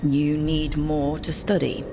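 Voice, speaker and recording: An actress playing a wry, defiant adventurer heroine in a video game, with a dry, determined manner. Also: female voice, deep voice, completely flat delivery, slow pace, background noise. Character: wry, defiant young female adventurer hero. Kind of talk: dramatic video game cutscene acting. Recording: clear music behind the voice.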